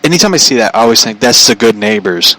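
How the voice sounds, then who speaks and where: A man's voice: mid-range voice, animated, fast, sharp tone, rough recording. An American man telling stories casually as a show host, rambling in a blunt, slangy, profane way. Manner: chuckles often while talking.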